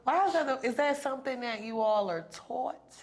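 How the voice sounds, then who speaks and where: A woman's voice: sits high, moderate pace. A woman hosting a podcast, listening closely and asking pointed follow-up questions. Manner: attentive, probing, with frequent murmured agreement. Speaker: podcast host. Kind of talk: casual interview conversation.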